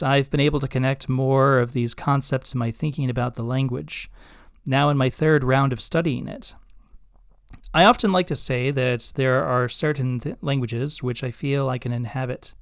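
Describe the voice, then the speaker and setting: A man hosting a podcast, reading his own written essay aloud in a measured, scholarly way with careful enunciation. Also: medium pitch, dry recording, studio quality. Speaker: podcast host.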